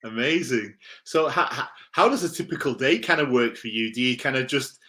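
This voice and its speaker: Bright, chatty male interview host. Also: mid-range voice, fairly quick. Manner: chatty, upbeat, laughs often. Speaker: podcast host. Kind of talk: casual live-stream interview. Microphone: video call.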